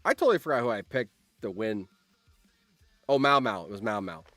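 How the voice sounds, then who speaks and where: A man hosting a live stream, reading out game events and reacting in an animated, exclamatory way with repeated phrases. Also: lowish voice, brisk, no room sound, faint music behind.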